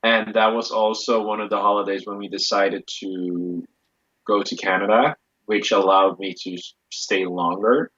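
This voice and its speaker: Low-voiced hesitant man, dull lo-fi sound. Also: steady tone, normal speed, a bit bright, husky. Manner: hesitant, many restarts and fillers. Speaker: guest. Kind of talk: casual conversation.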